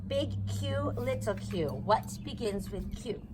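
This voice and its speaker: A woman's voice: on the high side, takes it slowly. A woman hosting a children's storytime, reading a picture book aloud outdoors in a sing-song, repetitive way. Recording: noisy outdoor ambience.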